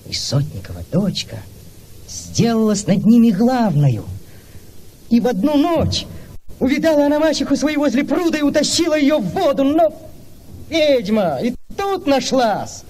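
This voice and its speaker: An actor playing a lovestruck young Cossack lad in a radio play, telling tales expressively with dramatic suspense. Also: male voice, very high-pitched, moderate pace, heavily acted. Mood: wry, mysterious. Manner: expressive, hushed suspense rising to excitement.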